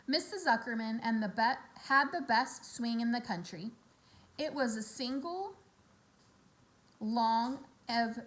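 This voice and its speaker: A woman reading a children's novel aloud in an expressive storybook narration style, acting out the dialogue. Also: fairly high pitch. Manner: animated, acts out character dialogue.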